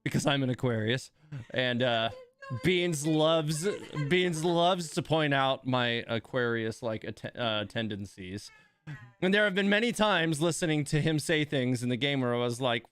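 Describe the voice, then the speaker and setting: A man's voice: medium pitch, expressive, measured pace, a bit bright. A man giving casual live stream commentary on a game in a rambling, amused, conversational way.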